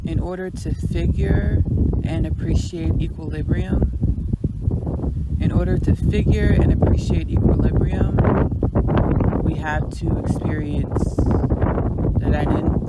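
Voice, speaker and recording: A woman from Texas, hosting a podcast and delivering a slow, halting spiritual monologue with long pauses. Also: sits low, vocal fry, dark tone, roomy sound, poor audio. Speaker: podcast host.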